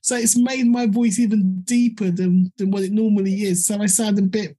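A woman hosting a podcast, hoarse from a cold, chatting casually with her guest. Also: medium pitch, steady tone, moderate pace, dark tone. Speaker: podcast host. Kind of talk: conversational interview.

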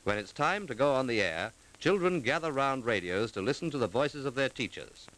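A man delivering formal, measured scripted narration in the manner of a 1940s documentary, clearly enunciated. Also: mid-range voice, lively intonation, a bit bright, poor audio. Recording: vintage 1940s film soundtrack.

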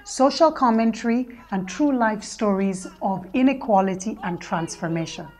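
A woman narrates a scripted promotional piece. Her voice sits at an average pitch, and prominent music plays loudly behind her throughout. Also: normal speed.

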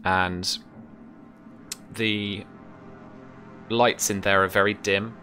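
Deep-voiced, bright-toned man narrating a game. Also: flat delivery, very slow, soft background music. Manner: hesitant, piecemeal phrasing. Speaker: game master. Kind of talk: improvised scene narration for tabletop game. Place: small room at home with bookshelves.

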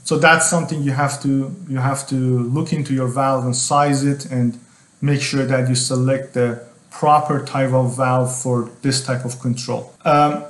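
Male technical instructor, middle-aged, halting explanatory lecture delivery, noticeably echoey room sound. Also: mid-range voice. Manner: halting, repetitive phrasing. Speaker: tutorial instructor.

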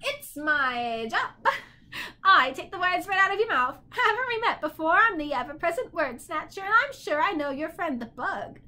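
A woman's voice: very high-pitched, very animated, moderate pace, sharp tone, voice pushed up, exaggerated voice. A woman voicing a malicious, haughty, unkempt male bird in a storybook, shrieking and cackling as he talks. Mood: gleeful.

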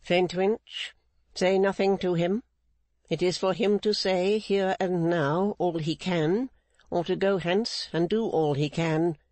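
A man's voice: high-pitched, steady tone, a little mellow, dry recording, voice pushed up. A man voicing a stern, resolute older woman who speaks firmly and defiantly. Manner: firm, measured, defiant.

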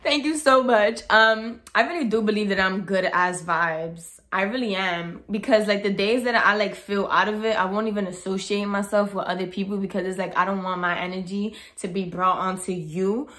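A woman's voice: mid-range voice, moderate pace, hardly any pauses. A young woman in her twenties talking casually and bluntly to camera as a vlogger, in a bedroom. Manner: blunt, outspoken, animated with hands. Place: bedroom, indoors.

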